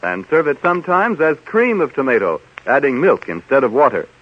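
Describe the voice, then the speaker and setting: A man's voice: mid-range voice, big pitch swings, fairly quick, husky. A man working as a radio announcer, reading commercial copy in a polished 1930s style. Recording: dull 1930s radio broadcast recording.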